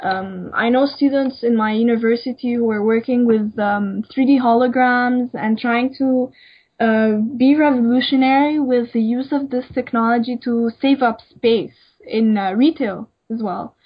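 A woman's voice: a little high, moderate pace, husky. A young woman, an emerging designer from Egypt, answering interview questions in a hesitant way with many fillers. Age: young adult. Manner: hesitant, many ums and likes. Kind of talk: casual interview. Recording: dull lo-fi sound.

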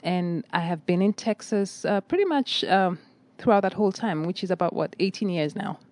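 A middle-aged woman, a nursing director answering interview questions in a measured, articulate way with frequent fillers. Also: fairly low pitch, animated, a little mellow, no echo. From Kenya.

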